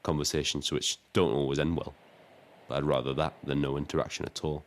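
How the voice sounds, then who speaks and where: Very deep-voiced, lonely taxi driver. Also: male voice, flat delivery, fairly quick. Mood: wry, reflective. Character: lost, lonely male Brighton taxi driver, wryly resigned. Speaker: narrator and lead character. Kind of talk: scripted first-person audio drama narration.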